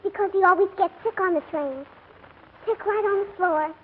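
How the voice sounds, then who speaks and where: A woman's voice: extremely high pitch, normal speed. A female performer playing a pert, stubborn but polite little English girl in a 1940s radio drama. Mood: matter-of-fact. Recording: tinny old radio recording, faint noise.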